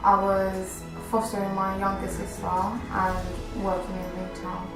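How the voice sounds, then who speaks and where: Woman in her 20s, gravelly flat voice, music playing behind. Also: mid-range voice, unhurried, echoey room, low-quality recording. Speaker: youth work apprentice. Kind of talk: interview answers.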